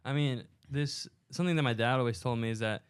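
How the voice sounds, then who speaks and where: A young man hosts a laid-back podcast with a smooth, clean voice. He talks into a headset mic in a studio, loose and slangy, with plenty of fillers. The sound is dry and close. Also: mid-range voice, quick pace, no room sound. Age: young adult. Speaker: podcast host. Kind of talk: casual podcast chat. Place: booked recording studio.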